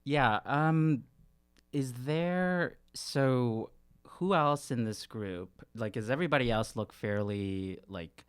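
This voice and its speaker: A man's voice: medium pitch, takes it slowly, smooth. A man talking casually as a player in a tabletop role-playing game.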